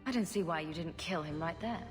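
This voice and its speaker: A woman's voice: lowish voice, brisk, natural voice. A woman acting a dry, probing adult heroine in a video game, direct and questioning. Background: music.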